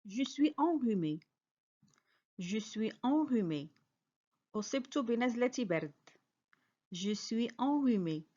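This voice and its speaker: High-voiced teacher woman drilling phrases. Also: lively intonation, a little slow, long pauses, mellow, dull audio. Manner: careful, clearly spoken model sentences, each repeated. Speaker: French teacher. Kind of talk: slow repeated phrase drills with quick translations.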